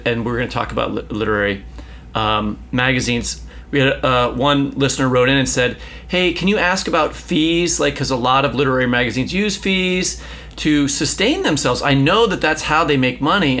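A man's voice: medium pitch, a bit bright. A man co-hosting a podcast, asking a long, hesitant question full of fillers in a casual conversation. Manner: hesitant, many fillers, quick agreeing interjections. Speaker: podcast co-host.

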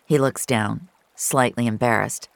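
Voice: medium pitch, a little slow, creaky, no room sound. A young adult actor playing a lonely, streetwise young gamer who narrates an audio drama in a wry, confessional, profane way. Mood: tense, observant. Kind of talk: first-person scripted audio drama narration.

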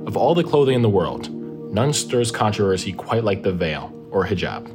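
A man hosting a podcast, giving a measured, reflective scripted narration full of rhetorical questions. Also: on the low side, animated. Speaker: podcast host. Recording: music bed behind the voice.

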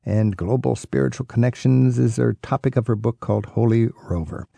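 Man, radio host, casual conversational interviewing, mellow tone, creaky gravelly voice. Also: mid-range voice, fairly quick, dry recording. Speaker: radio travel show host.

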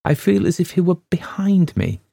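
A man's voice: high-pitched, very animated, gravelly, mellow, slightly rough, no room sound, voice pushed up, exaggerated voice. Man putting on a breathless frightened young woman. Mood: pleading. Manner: breathless, hurried, pleading.

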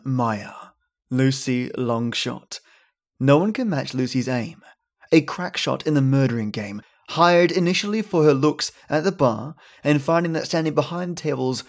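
A man hosting a podcast, delivering scripted thanks and stories in a dramatic way with storytelling pauses. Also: mid-range voice. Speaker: podcast host. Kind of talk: theatrical scripted narration and listener thanks.

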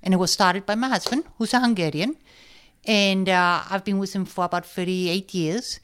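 A woman's voice: medium pitch, fairly even intonation, moderate pace, a bit bright, clear, resonant tone. An older woman, explaining her family business as an interview guest. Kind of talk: conversational explanation.

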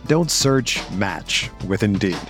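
A man's voice: on the low side, expressive, slow, fairly bright tone. A man reading a scripted podcast advertisement as an announcer. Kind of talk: scripted ad read. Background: faint music bed.

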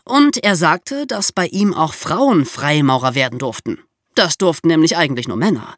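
A woman's voice: low voice, animated, moderate pace, no room sound, studio quality. A woman hosting a history podcast, telling the story in an explanatory, emphatic way. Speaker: podcast host.

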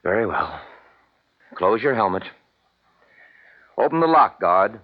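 A man's voice: on the low side, sing-song, takes it slowly, heavily acted. An actor in a 1950s radio drama playing a grave, commanding middle-aged scientist, a protective father. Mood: brisk, firm. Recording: muffled vintage 1950s radio broadcast.

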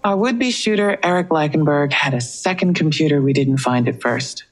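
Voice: mid-range voice, moderate pace, lightly acted. An actor playing a matter-of-fact, knowing police investigator in an audio crime drama.

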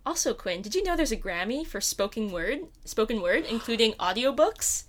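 A woman's voice: fairly high pitch, lively intonation, normal speed. A young woman co-hosting a podcast in casual chat, with a playful, gushing and emphatic way of talking. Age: young adult. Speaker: podcast co-host.

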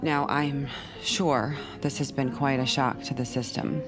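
An actress playing a calm, controlling female psychiatrist, measured and clinical with a cool menace, in an audio drama. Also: a bass voice, over music. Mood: soothing, clinical.

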